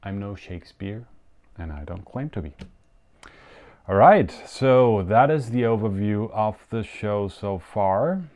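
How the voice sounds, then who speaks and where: A young man with German as his first language hosts a casual live show. He talks slowly in a fairly low voice. He hesitates often, restarts sentences and leans on fillers as he searches for words. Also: lively intonation, darkish tone. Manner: hesitant, many fillers and restarts, non-native phrasing. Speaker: show host. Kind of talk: casual live-stream hosting.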